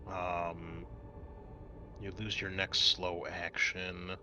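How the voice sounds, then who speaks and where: A man's voice: low-pitched, little pitch movement, drawn-out words, pushed and bright, slight background noise. A man working as a game master, narrating a tabletop role-playing game in a conversational, improvised way.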